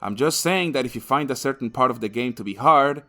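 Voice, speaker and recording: A man's voice: mid-range voice, fairly quick, sharp tone, soft background music. A man narrating a scripted comedic video game review in a crude, sarcastic, sweary manner.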